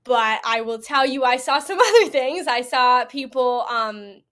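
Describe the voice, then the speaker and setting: A woman's voice: sits high, unhurried, a bit bright. A woman giving a personal account as an interview guest, earnest, conversational and emphatic. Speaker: podcast guest, event attendee.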